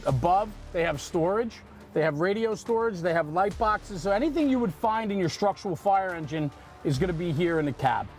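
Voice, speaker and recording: Higher-pitched man presenting a walkaround. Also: expressive, fairly quick, a little mellow, soft background music. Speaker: host presenting fire apparatus walkaround.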